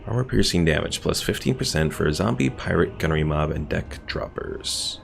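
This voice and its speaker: Low, creaky-voiced male game streamer. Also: lively intonation, normal speed, soft background music. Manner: thinks aloud, reads out chat messages. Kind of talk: casual live strategy game commentary.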